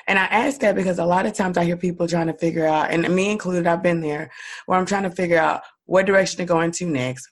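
Hoarse, raspy-voiced woman podcast host. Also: on the low side. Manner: upbeat, encouraging, talks straight to listeners as "guys". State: hoarse from a cold. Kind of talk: solo podcast monologue.

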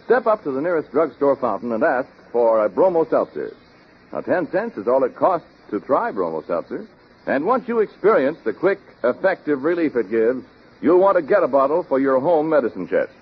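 Animated male vintage radio pitchman. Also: a little fast, slightly rough. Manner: emphatic salesman delivery. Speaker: commercial announcer. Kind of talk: 1930s radio advertising read. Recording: muffled, noisy 1930s radio recording.

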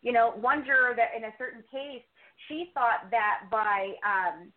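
A woman's voice: fairly high pitch, a little slow. A woman podcaster answering interview questions in a talkative, opinionated way, circling back to repeat her points. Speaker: true crime podcast co-host, interview guest. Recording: thin, phone-like line.

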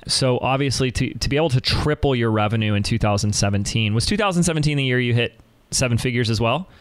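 A man's voice: medium pitch, fast, no room sound. A man hosting an interview podcast. He introduces his guest and asks questions in an enthusiastic, effusive way, often restarting his sentences. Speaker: podcast host.

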